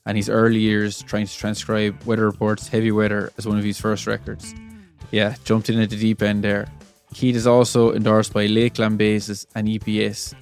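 A man hosting a music podcast, asking halting, friendly questions in a casual chat. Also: fairly low pitch, creaky. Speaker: podcast host. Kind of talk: scripted intro then casual interview.

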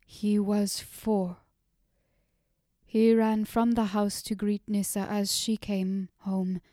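A woman reading puts on a grief-stricken father in an old-fashioned fantasy tale. He talks formally and stops short, as if the words won't come. His voice is mellow and unhurried, heard close and dry. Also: mid-range voice, little pitch movement, no echo, natural voice. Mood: grieving. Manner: halting, formal speech. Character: grieving middle-aged father, proud host.

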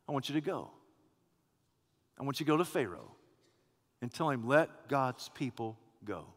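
A preacher voicing God, deep and hushed. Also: male voice, sing-song, in a large hall. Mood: commanding. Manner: slow, hushed, solemn pronouncements. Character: God, calm, commanding male divine voice.

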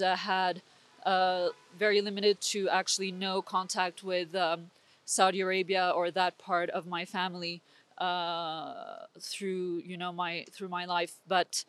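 A young woman from Switzerland, speaking as an interview guest with intense, urgent political commentary and frequent restarts. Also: on the low side. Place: conference venue.

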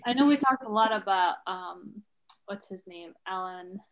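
A woman's voice: mid-range voice, unhurried, fairly bright tone, low-quality recording. A woman interviewing a guest, asking questions with hesitant fillers.

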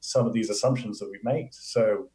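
A man's voice: fairly low pitch, fairly even intonation, moderate pace, warm tone, reverberant, low-quality recording. A man with an English accent, an astrophysicist guest chatting eagerly in a live Q&A and laughing often. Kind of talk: casual live Q&A chat.

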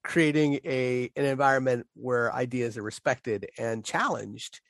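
Easygoing man, mid-pitched, dry close sound. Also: unhurried, fairly bright tone, no room sound. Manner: easygoing, frequent "right" and "you know", laughs easily. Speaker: podcast co-host. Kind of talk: casual conversation about teaching.

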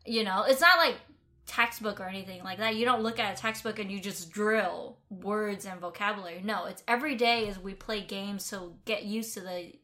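A woman's voice: medium pitch, steady tone, normal speed, bright, forceful tone. A young woman from the United States hosting a casual explainer vlog, chatty and rambling with many restarts. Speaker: host.

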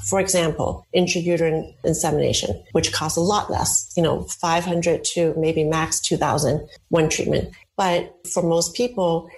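A woman answering interview questions in long, measured explanations as a representative of a fertility company. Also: on the low side, rough recording.

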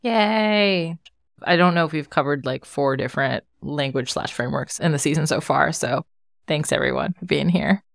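A woman's voice: deep voice, moderate pace, gravelly, dry recording, studio quality. A woman co-hosting a podcast in a casual, conversational style. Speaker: podcast co-host, engineering manager.

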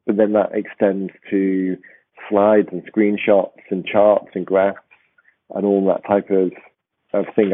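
A man hosting a podcast, with a croaky voice and a rambling, restarting way of talking. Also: on the low side. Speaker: podcast host. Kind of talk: casual podcast chat. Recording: tinny phone-like line.

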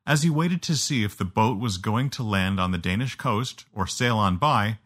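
A man's voice: lowish voice, fairly bright tone. A man reading an audiobook as narrator, telling a scripted story.

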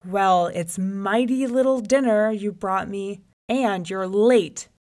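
A woman voicing a gruff, menacing old male lion from a storybook, who hollers and makes demands. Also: mid-range voice, lively intonation, measured pace, a little mellow, lightly acted. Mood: gruff, demanding. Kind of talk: storybook character voice.

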